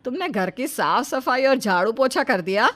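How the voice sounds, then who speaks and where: A woman's voice: on the high side, expressive, normal speed, fairly bright tone, a little hiss or hum. A woman voicing a stern older village mother-in-law, bossy and taunting, in a dramatized story. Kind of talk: dramatized Hindi moral story.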